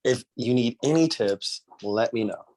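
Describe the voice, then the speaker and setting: A man hosting a casual podcast interview, talking in a relaxed way with casual slang. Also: medium pitch, unhurried. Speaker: podcast host.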